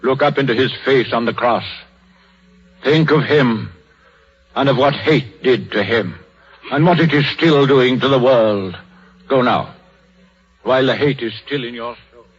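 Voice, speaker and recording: A fatherly old clergyman with a British accent and a husky voice. He speaks in measured, solemn phrases, like gentle preaching, on a dull 1930s radio drama recording. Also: mid-range voice. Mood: consoling, grave. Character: crippled old minister, gentle, philosophical, fatherly.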